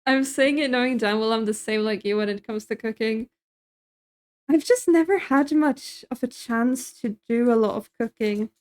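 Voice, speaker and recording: A young woman with a high, light voice chats with her viewers as she cooks at home. She, laughs easily, and answers chat messages as they come in. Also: moderate pace, a little mellow. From Sweden. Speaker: streamer. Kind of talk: casual chat while cooking on stream.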